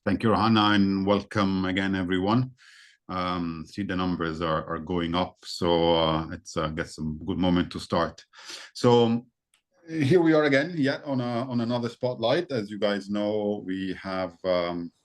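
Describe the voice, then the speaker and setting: A man, a native speaker of Arabic and Italian, presenting in an online session over a video call, relaxed and hesitant with fillers. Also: deep voice, a little slow.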